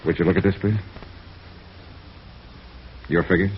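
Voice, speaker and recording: An American actor playing a brisk, clipped insurance investigator in an old-time radio noir drama. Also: male voice, low voice, fairly even intonation. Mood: firm, pressing. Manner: clipped, businesslike. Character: brisk, hard-boiled male insurance investigator. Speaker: radio drama lead and narrator. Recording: muffled, noisy old radio recording.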